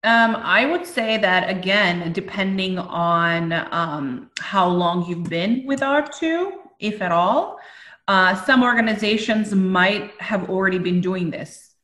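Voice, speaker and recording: A woman's voice: mid-range voice, slow, fairly bright tone. A woman hosting as a consultant, talking conversationally in a panel discussion. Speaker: host and consultant. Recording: roomy, echoing sound.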